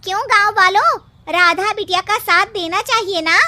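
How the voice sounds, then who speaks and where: An eager cartoon villager played by a woman rallies the neighbours. The voice is loud, rousing and pitched very high. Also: normal speed, heavily acted. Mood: rousing, hopeful. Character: eager, rallying villager standing up for progress.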